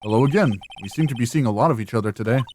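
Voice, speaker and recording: A man's voice: mid-range voice, very fast, darkish tone, slight background noise, voice pushed down, natural voice. A man voicing a wry, easily exasperated adult male lawyer in video game dialogue. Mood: casual, friendly. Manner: wry, incredulous.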